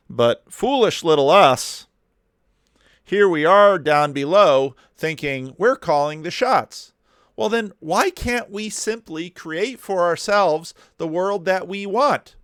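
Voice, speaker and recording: A man commenting on a video clip, talking in animated rhetorical questions with slow, deliberate emphasis. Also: a little high, no echo, studio quality.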